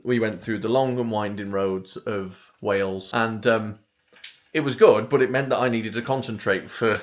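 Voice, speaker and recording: A man, talking quietly and hesitantly to camera in a casual travel vlog, pausing often with ums, on a clip-on microphone. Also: on the low side. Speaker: vlogger.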